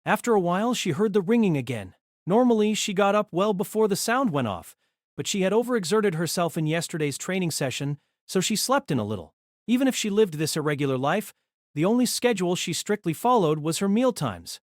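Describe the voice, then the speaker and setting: Animated male narrator, dry close sound. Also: high-pitched, brisk, dry recording. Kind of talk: scripted novel narration.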